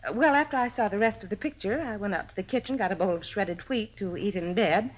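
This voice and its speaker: A woman's voice: medium pitch, quick pace, lightly acted. An actress playing a touchy, self-important American landlady in a 1950s radio drama, talkative and defensive. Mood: explaining. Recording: muffled old radio recording.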